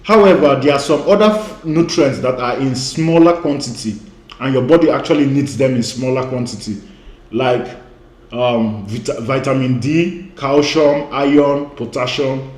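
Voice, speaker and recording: A man's voice: medium pitch, moderate pace, echoey room. A man who grew up speaking Igbo, giving an emphatic online lecture as a weight-loss coach. He repeats his key points and often says "you know".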